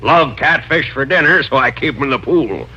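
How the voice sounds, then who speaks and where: A man's voice: mid-range voice, expressive, moderate pace, sharp tone, husky, highs cut off. A man playing a wealthy, folksy Western rancher and bachelor in 1940s radio style, hearty and a little sly. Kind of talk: 1940s radio drama acting. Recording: noisy band-limited old radio recording.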